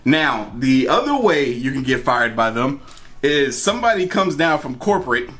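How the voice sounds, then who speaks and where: A man's voice: mid-range voice, big pitch swings, moderate pace, a bit bright. A man telling a personal story in a casual, conversational way, repeating phrases for emphasis. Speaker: storytime vlogger. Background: music.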